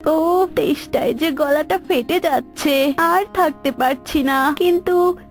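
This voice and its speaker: A woman's voice: sits high, slow, faint music behind, voice pushed up. A woman voicing a plaintive, dramatic mother bird in a cartoon folk tale. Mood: anxious. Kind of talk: cartoon voice acting.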